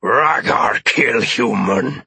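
Man voicing a growling, halting alien warrior. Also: mid-range voice, creaky, pushed and bright, breathy edge, no echo, full character voice. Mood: threatening, shouting. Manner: blunt, halting broken sentences. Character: volatile male alien warrior captive speaking broken English. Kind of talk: audiobook character voice.

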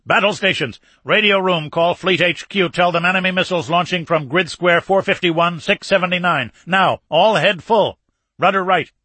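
A man's voice: high voice, normal speed, pushed and bright, dry recording, voice pushed up. A man voicing an urgent frigate captain who barks battle orders in an audiobook. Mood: urgent, barking. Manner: barking, urgent military commands. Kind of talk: audiobook character acting.

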